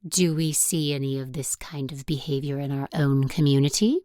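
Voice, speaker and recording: A woman playing a stern religious school teacher in an audio drama, addressing the class in a deliberate, pointed manner. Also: a bass voice, warm tone, no echo, clean studio sound. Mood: pointed, probing.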